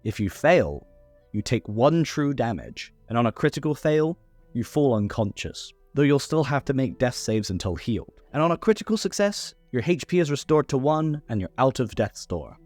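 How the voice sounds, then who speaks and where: A man's voice: mid-range voice, big pitch swings, moderate pace, darkish tone, no echo, faint music behind. A man narrating a scripted tutorial on tabletop game rules, recorded as a close voice-over. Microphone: close voice-over microphone.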